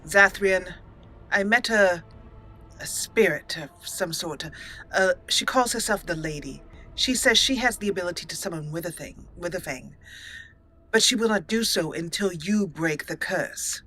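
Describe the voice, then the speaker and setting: A woman's voice: on the low side, measured pace, bright, forceful tone, poor audio. A woman voicing her young female elf mage hero, speaking firmly and earnestly.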